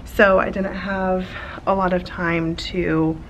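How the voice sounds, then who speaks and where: Chatty young teacher woman, low voice. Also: little pitch movement, slow, slight background noise. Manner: chatty, filler-heavy, upbeat. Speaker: elementary school teacher. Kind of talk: casual vlog chat. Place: classroom. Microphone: handheld camera.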